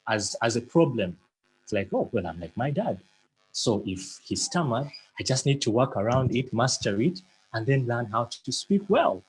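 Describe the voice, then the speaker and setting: Husky guy giving a pep talk, stammers a bit, repeats words, earnest and punchy, middle pitch. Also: low-quality recording. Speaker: motivational speaker. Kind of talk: motivational talk.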